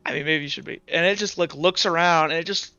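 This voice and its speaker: Bright, higher-voiced man narrating a tabletop game. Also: quick pace, smooth, no echo. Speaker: game master. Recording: dull lo-fi audio with background music.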